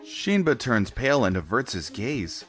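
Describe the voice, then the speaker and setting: A man's voice: mid-range voice, very animated, moderate pace, a bit bright, soft background music. A man reading scripted first-person story narration for a comic dub.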